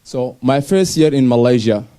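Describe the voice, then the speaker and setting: A young man from Somalia telling his personal story to an audience in simple, halting English with restarts. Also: medium pitch, lively intonation, dark tone. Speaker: speaker sharing his refugee story. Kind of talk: personal story talk on stage.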